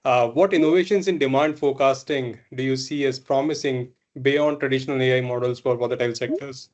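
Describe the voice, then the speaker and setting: A man's voice: mid-range voice, steady tone, brisk, a little mellow. A man moderating a panel discussion in a friendly way, laughing between the questions he reads out. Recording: muffled lo-fi audio.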